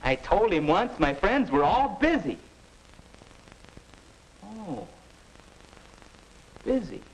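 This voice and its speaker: A man's voice: high-pitched, big pitch swings, takes it slowly, husky, full character voice. A man playing a restless, doubting American teenage boy in a 1950 educational film, earnest and stagey, on a noisy old soundtrack. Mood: puzzled, moping.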